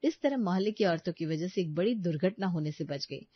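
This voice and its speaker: A woman's voice: on the low side, animated, a little fast, no echo, muffled recording. A woman reading scripted narration for a cartoon story.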